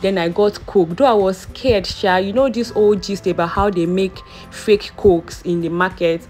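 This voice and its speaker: A woman's voice: mid-range voice, moderate pace, a little mellow, faint music behind. A young woman with a Nigerian accent, narrating her clothing haul in a chatty, playful, self-amused way. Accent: Nigerian English. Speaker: vlogger.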